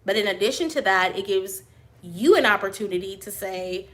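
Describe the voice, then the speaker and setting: A small business owner answering viewer questions on a live stream, loud and animated, laughing often, with a high voice she compares to a cartoon mouse. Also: moderate pace. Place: her print shop office.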